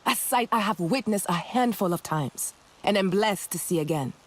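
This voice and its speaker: A woman's voice: mid-range voice, expressive, normal speed, close to an everyday voice. An actress playing a solemn female clan leader in a video game, speaking ceremonially. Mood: reverent, inviting.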